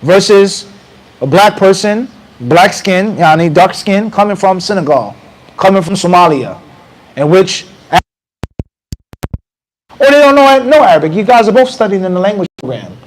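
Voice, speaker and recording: Brief: American man, 30s, lively mosque lecturer, high voice, handheld mic, faint hiss. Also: normal speed, long pauses, rough recording. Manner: animated, conversational, quotes Arabic passages. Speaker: mufti teaching a class. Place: small mosque room with bookshelves. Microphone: handheld mic close to mouth.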